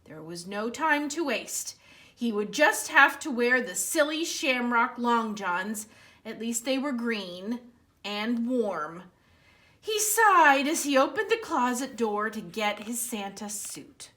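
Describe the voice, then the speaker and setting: Animated, high-pitched woman reading a storybook. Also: slow pace. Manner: expressive, dramatic emphasis, addresses young listeners with questions. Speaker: librarian reading at children's storytime. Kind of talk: animated read-aloud of a children's picture book.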